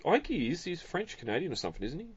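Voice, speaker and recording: A man's voice: a little high, rapid-fire, slightly rough, lo-fi sound. A man hosting a podcast, chatting casually with fillers and chuckles, then reading a recap. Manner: relaxed, rambling, with frequent fillers and chuckles. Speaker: podcast host. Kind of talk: casual chat and scripted recap.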